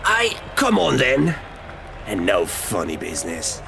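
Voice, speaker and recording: A man playing a blunt arena enforcer who talks in a rough, street-style way with a gravelly voice. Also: fairly low pitch, huge pitch swings, unhurried, noisy background, full character voice. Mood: gruff.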